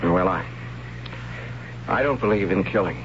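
A man's voice: sits low, measured pace, noisy background. An actor playing a timid young tribesman who narrates his story in a wry, hesitant way, in a 1970s radio drama. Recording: dull old radio broadcast with music.

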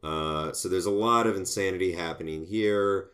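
Man, very low voice, casual chatty film-discussion co-host, restarts a lot. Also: normal speed. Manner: rambling, animated, frequent restarts. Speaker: film review podcast co-host.

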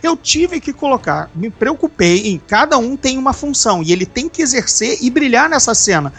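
A podcast host and novelist with a Brazilian accent, chatting casually, animated and quick to swear, often restarting sentences. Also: medium pitch, slightly rough. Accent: Brazilian Portuguese. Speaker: author of a novel.

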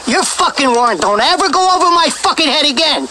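Casting: raging swearing gangster, high and swooping, noisy film audio. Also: male voice, lively intonation, fairly quick, bright, forceful tone, full character voice. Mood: furious. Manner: ranting, threatening, heavy swearing. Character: furious foul-mouthed mobster man.

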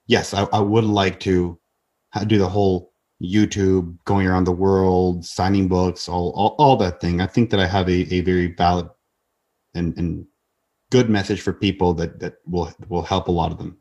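A man's voice: low-pitched, level tone, moderate pace, a little mellow. A man in his twenties, a podcast guest talking earnestly in casual conversation, often restarting and repeating words. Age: 20s.

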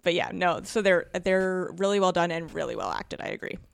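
A woman's voice: lowish voice, lively intonation, a little fast, vocal fry, smooth. A woman chatting casually as a guest on a podcast. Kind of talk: casual chat.

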